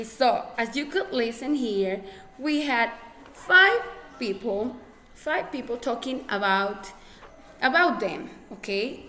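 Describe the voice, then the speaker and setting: Ecuadorian lady teaching english, spanish accent, fairly high voice, patient, keeps saying okay. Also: fairly bright tone, a little hiss or hum. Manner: patient, repeats herself, checks with "okay?". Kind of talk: classroom instruction.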